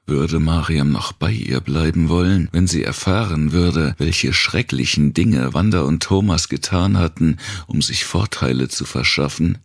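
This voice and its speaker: Very deep, gravelly male narrator. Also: measured pace, hardly any pauses, no echo, clean studio sound. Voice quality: gravelly, husky. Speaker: audiobook narrator. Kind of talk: scripted narration of a dark post-apocalyptic thriller.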